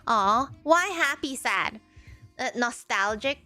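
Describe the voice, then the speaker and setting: A woman in her forties who grew up in Malaysia, a streamer answering chat in a halting way with many fillers. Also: a little high, expressive, bright, forceful tone, faint music behind. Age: 40s. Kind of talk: live stream chat reading viewer comments.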